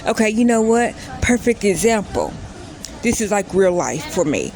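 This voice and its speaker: A woman's voice: medium pitch, very animated, moderate pace, darkish tone, a little hiss or hum. A woman in her late thirties telling a personal story in a casual vlog, rambling and often stopping to correct herself. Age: 30s. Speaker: vlogger.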